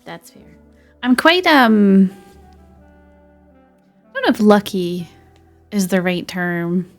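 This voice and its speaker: Female game streamer, mid-pitched, chatty casual talk to viewers, faint music underneath. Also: very animated, takes it slowly, creaky. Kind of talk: casual livestream chat while gaming.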